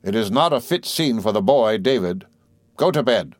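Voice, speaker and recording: A cold, tyrannical master of the house from a classic novel, played by a male narrator. He is firm, pompous and deliberate, arrogant in every phrase. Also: on the low side, lively intonation, dry recording, natural voice. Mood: magnanimous, stern.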